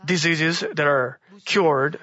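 A hoarse man preaching to a congregation, halting and restarting often. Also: fairly high pitch, bright, forceful tone, muffled recording. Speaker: church speaker. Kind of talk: sermon-style teaching.

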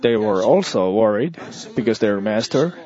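A man delivering a church sermon in short, measured phrases, as an interpreter would. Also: on the low side, lively intonation, warm tone. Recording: dull lo-fi audio.

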